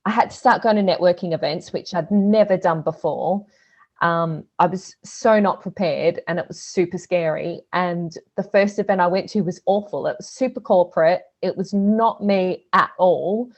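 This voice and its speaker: A woman's voice: lowish voice, normal speed. A woman from Australia with an Australian accent, a guest on a podcast, talking chattily with frequent fillers and laughs. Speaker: guest, social media business owner. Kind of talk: casual podcast interview.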